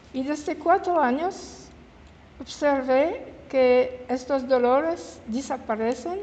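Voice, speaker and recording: A woman in her seventies with a French-speaking Swiss accent addresses a room in a fairly high voice, picking her words very slowly and often correcting herself. Her voice carries a long hall reverb over faint room noise. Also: darkish tone. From Switzerland. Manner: halting, searches for words, corrects herself mid-word. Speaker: guest speaker. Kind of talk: informal public talk. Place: meeting hall in an old house.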